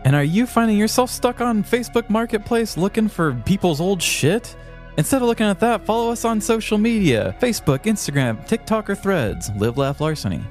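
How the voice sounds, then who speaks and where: A man's voice: high voice, animated, fairly quick, faint music behind. A man co-hosting a podcast in casual chat, with an easygoing, informal way of talking. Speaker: podcast co-host.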